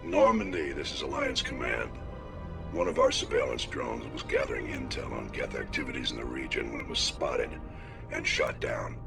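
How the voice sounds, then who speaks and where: A man's voice: very deep voice, huge pitch swings, moderate pace, gravelly, music in the background, full character voice. A man playing an authoritative military officer giving a formal mission briefing in a video game. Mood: businesslike. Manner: formal, commanding. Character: gravelly, authoritative male military command officer.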